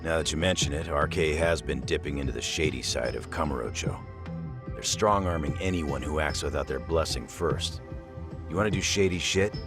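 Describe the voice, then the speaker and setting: A man playing a blunt, cynical, streetwise underworld informant with a gravelly voice in an English game dub. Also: a bass voice, normal speed. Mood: wry, blunt. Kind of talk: video game cutscene dialogue. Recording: music behind the voice.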